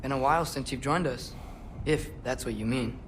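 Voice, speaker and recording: A young actor playing an earnest teenage warrior's son, inquisitive and candid. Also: male voice, medium pitch, moderate pace, close to an everyday voice. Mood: curious. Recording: clear background noise behind voice.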